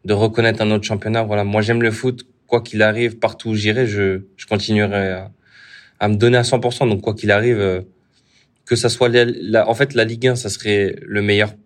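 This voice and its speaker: A young man, a footballer, answering interview questions casually with many restarts. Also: on the low side. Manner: relaxed, halting, many restarts. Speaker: footballer guest.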